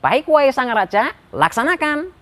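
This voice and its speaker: Man doing a high-pitched, fawning royal minister. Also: sing-song, normal speed, a bit bright, dry recording, full character voice. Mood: obedient. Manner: eager, obsequious. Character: scheming, flattering male royal minister, eager and sly.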